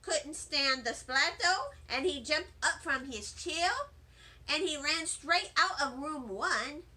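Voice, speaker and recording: A woman reading a children's storybook as an excitable, dramatic little first-grade girl, with childlike grammar and emphatic delivery. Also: high-pitched, takes it slowly, heavily acted. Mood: breathless, dramatic. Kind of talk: children's storybook read-aloud.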